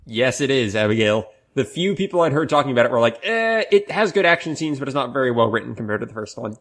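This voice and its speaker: A man's voice: medium pitch, very animated, fast talker. A man co-hosting a podcast, talking through his opinions in a casual group discussion. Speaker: podcast co-host.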